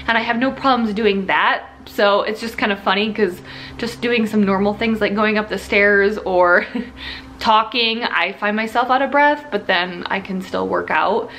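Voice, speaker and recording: A woman's voice: mid-range voice, fairly bright tone, slight background noise. A young woman from Ohio giving a casual, rambling vlog update, restarting sentences and laughing a little. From Ohio, United States. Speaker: vlogger.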